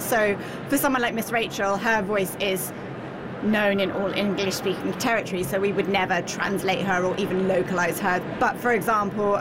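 A woman speaks for a toy company, explaining its strategy in a brisk, businesslike manner. Clear background noise runs under her voice. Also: mid-range voice. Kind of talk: interview answers.

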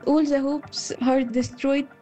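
A woman from Egypt shares her experience in non-native English. Her voice is high and flat in intonation, with a mellow tone and music behind it. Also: slow. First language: not English. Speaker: football fan contributor. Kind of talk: personal voice-message account.

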